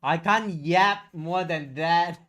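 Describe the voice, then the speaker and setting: A man's voice: on the high side, takes it slowly, fairly bright tone. A man chatting casually on a livestream, rambling and candid, swearing freely as he goes. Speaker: streamer and VTuber.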